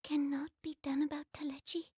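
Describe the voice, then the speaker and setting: An actress plays a young, regal female ruler in a fantasy game. Her voice is high and dry. She speaks in stately, old-fashioned phrases, earnest and wary. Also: flat delivery, a little fast, darkish tone, no room sound, close to an everyday voice. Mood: doubtful, weary. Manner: formal, archaic, stately diction. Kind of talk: dramatic fantasy video game acting.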